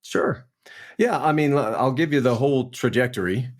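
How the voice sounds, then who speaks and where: A man in his forties from New Orleans, a guest actor telling his career story in a relaxed, chatty way on a remote call. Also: medium pitch, normal speed. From New Orleans, Louisiana. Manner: relaxed, storytelling, frequent "man" and "you know". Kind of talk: casual interview chat.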